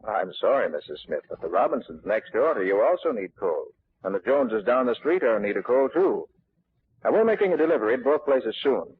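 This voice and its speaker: A polite, patient company clerk in a 1940s radio advertising skit. He reasons apologetically in a husky, mid-pitched voice on a muffled, narrow old recording. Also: sing-song, fairly quick. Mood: patient, apologetic.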